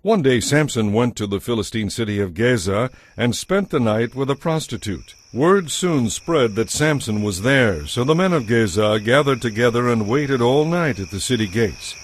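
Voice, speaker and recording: A man narrating a dramatized scripture audiobook in a measured, solemn storytelling manner. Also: medium pitch, animated, no echo.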